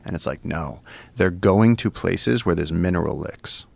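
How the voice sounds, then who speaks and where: A man's voice: low voice, measured pace, gravelly, a little mellow, no echo. A man who works as a wildlife field researcher, telling field stories in a loose, vivid way. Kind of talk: casual storytelling.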